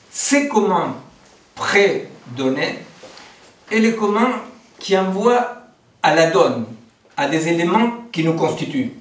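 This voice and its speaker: A man from Argentina, giving a philosophy seminar lecture, digressive, with many fillers and rhetorical questions. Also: a little high. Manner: digressive, frequent fillers and rhetorical "n'est-ce pas". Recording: roomy, distant mic.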